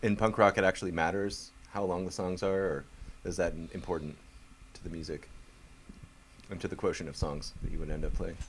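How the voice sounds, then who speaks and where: A man hosting a band interview, speaking in a deadpan, halting manner. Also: low-pitched, a little hiss or hum. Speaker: talk show host.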